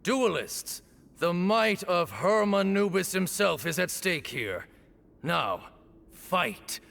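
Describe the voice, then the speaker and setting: A grave ritual officiant in an anime game. He proclaims formally and slowly, his high male voice gravelly and swinging widely in pitch. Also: sing-song, a bit bright, full character voice. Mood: solemn, commanding. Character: solemn ceremonial master of a sacred duel rite. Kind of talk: anime video game voice acting. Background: game music.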